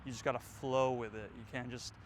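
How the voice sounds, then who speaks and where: A man's voice: medium pitch, flat delivery, fairly quick, a little hiss or hum. A man in his twenties vlogging outdoors, rambling and reflective, with lots of fillers. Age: 20s. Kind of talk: casual reflective vlog. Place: outdoors, windy.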